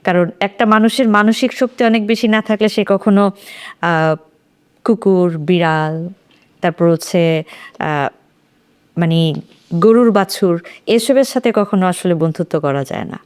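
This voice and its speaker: Clear-voiced woman on a panel. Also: on the low side, measured pace, pauses often, darkish tone. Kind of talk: thoughtful literary discussion.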